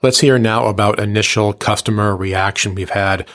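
A man's voice: lowish voice, fairly even intonation, normal speed, fairly bright tone, no echo, clean studio sound. A man talking as a radio host in a casual, slangy interview style with showy, flourished phrasing.

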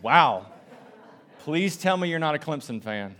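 A man with a Southern American accent from South Carolina, preaching to a congregation. His voice sits a little higher than most men's. He talks in an energetic, chatty way and pokes fun at himself. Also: a little slow. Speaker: pastor. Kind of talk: sermon.

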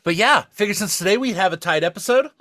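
A man with a bright, forceful voice co-hosts a casual comedy podcast. His pitch swings around freely as he exclaims and swears. The sound is dry and close to the mic. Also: lively intonation, fast talker, no echo. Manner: profane, exclamatory. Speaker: podcast co-host.

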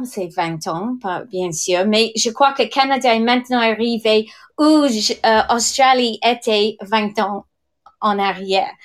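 Slow, hesitant woman in her sixties. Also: on the high side. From England. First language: English. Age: 60s. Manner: hesitant, many fillers and restarts. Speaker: direct sales leader. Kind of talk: podcast testimony.

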